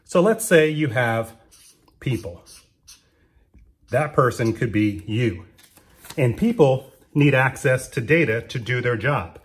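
A man giving a plain, steady whiteboard-style explanation while drawing on sticky notes at a desk. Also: medium pitch, slow pace, long pauses.

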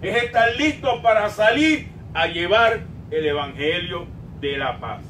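An older man with a Puerto Rican accent preaching a sermon emphatically, swinging between drawn-out emphasis and quieter asides. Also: animated. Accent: Puerto Rican Spanish. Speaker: pastor. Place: small church sanctuary, indoors at a pulpit. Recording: roomy, distant mic, rumbling background noise.

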